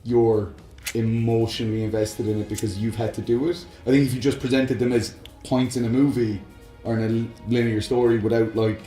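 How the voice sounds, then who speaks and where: A man's voice: medium pitch, steady tone, a little fast, warm tone, roomy sound, soft background music. A man in his thirties co-hosting a casual podcast, chatty and laughing often between fillers. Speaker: podcast co-host. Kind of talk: casual chat about films and games.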